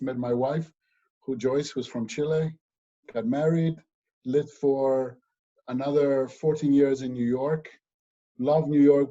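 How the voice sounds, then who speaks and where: A middle-aged man from Spain, a guest in a casual remote interview, talking in a relaxed way with many pauses and fillers. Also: mid-range voice. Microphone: video call. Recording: dull lo-fi video call audio.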